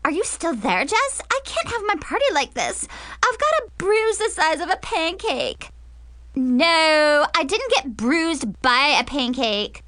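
A woman's voice: sits high, big pitch swings, unhurried, sharp tone, no room sound, voice pushed up, exaggerated voice. A woman voicing a bratty, dramatic, self-absorbed teenage girl in a storybook, whiny and exasperated.

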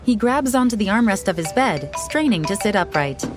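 A woman narrates audio description in a calm, measured voice of middling pitch. Her reading is even and precise. Soundtrack music plays clearly beneath her.

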